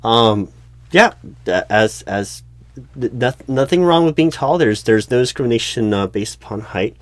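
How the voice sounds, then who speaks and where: A man's voice: mid-range voice, lively intonation, moderate pace, dry recording, natural voice. A man playing a polite, oblivious recruiting manager who stammers hesitantly and tactfully through a comedic one-sided job interview roleplay. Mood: flustered, concerned.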